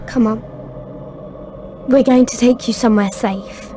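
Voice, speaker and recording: A woman's voice: a little high, steady tone, unhurried, warm tone, slight background noise, natural voice. A woman playing a brave, caring young girl in a video game cutscene, speaking earnestly. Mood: gentle.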